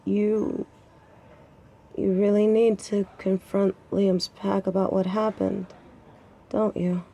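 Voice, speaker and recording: A woman's voice: medium pitch, little pitch movement, slow, long pauses, mellow. A woman playing a protective, stubborn young lover in an intimate audio drama, wry and conversational. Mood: worried. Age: young adult.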